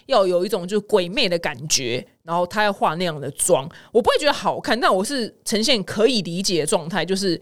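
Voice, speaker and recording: A woman podcast host with a Taiwanese accent talks at a quick clip in a mid-pitched voice. She is chatty and playful, giving casual, comedic commentary on the news. She states her opinions freely and slips into personal stories as she goes. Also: expressive, fairly bright tone.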